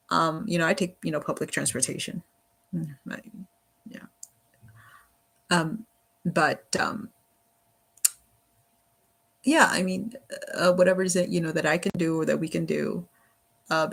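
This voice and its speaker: A livestream host talking casually and earnestly in a rambling, halting way, often repeating phrases and saying "you know". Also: mid-range voice, creaky, a bit bright.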